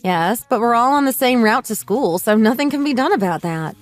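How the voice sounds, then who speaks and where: A woman's voice: on the high side, lively intonation, fairly quick, no echo, close to an everyday voice. A woman playing a sensible, put-upon mother in her late thirties in a radio drama, polite but firm. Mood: reasonable. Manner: polite, reasonable, mildly exasperated.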